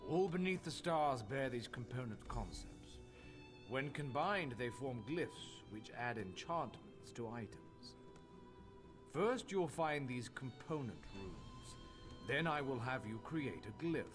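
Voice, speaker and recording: A learned fantasy master crafter who instructs apprentices in formal, measured phrases. His pitch moves in lively swings over clearly audible music. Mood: instructive. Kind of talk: scripted fantasy game dialogue. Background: game music.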